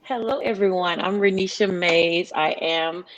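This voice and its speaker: A woman's voice: on the low side, measured pace, a little hiss or hum, poor audio. A middle-aged woman, explaining things emphatically as a music executive on a workshop panel. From Memphis, Tennessee, United States. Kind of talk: informal workshop presentation.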